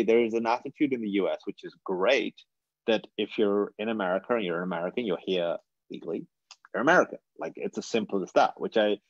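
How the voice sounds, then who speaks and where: A man's voice: fairly low pitch, no echo, dull audio. A British man in his thirties, speaking earnestly and reflectively as a guest speaker on a webinar, often restarting his sentences. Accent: British English. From England. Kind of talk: webinar talk.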